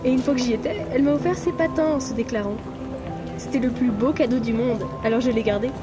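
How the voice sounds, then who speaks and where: High-voiced breezy, cheeky woman played by an actress. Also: fast, natural voice. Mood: cheeky, playful. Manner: familiar, cheeky, playful chatter. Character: breezy, teasing female contact of an underground circle. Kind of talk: French audio drama acting. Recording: loud music under the voice.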